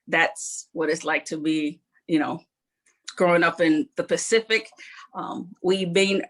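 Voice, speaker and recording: A middle-aged woman from New Zealand, an Air Force member telling her life story in an interview, earnest and modest, pausing often. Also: lowish voice, fairly bright tone. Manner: earnest, modest, reflective with frequent pauses.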